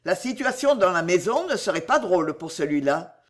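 A woman's voice: lowish voice, big pitch swings, normal speed. A woman voicing a troubled young man, curt, defensive and easily flustered, in a storybook reading. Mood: irritated.

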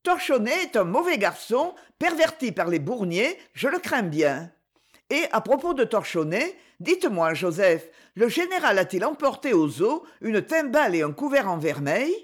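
A woman's voice: fairly high pitch, big pitch swings, normal speed. A woman reading aloud and voicing a motherly middle-aged woman who explains her worries. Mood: concerned.

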